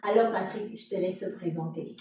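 A woman's voice: lowish voice, a little fast, a little mellow. A woman co-hosting a podcast who asks questions in a friendly way and affirms the guest often. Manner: friendly, with frequent affirming murmurs. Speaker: podcast co-host. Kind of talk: conversational interview hosting. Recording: echoey distant room sound with faint music.

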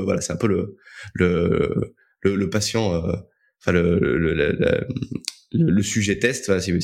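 Low-pitched young man, hesitant storyteller. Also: gravelly. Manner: hesitant, often repeating words. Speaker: interview guest, co-founder of a digital media outlet. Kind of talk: casual interview storytelling.

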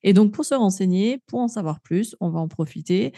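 A woman's voice: mid-range voice, lively intonation, fairly quick, mellow, no echo, clean studio sound. A woman from France hosting a podcast, chatting casually with a guest and then wrapping up for listeners, with many fillers and restarts. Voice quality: frequent fillers and restarts, laughs easily. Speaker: podcast host.

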